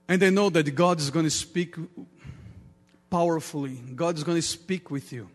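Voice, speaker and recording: A man with a Portuguese accent, a native Portuguese speaker, preaching a sermon earnestly in halting English with repeated words. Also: a little high. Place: large reverberant church room. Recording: reverberant hall with long echo.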